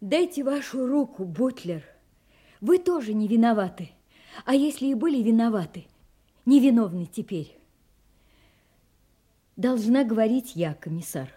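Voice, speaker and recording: An actress playing a composed, resolute and mysterious young lady in an audio play, with a soft husky voice. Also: fairly high pitch, animated, a little slow, pauses often. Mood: calm, resolute.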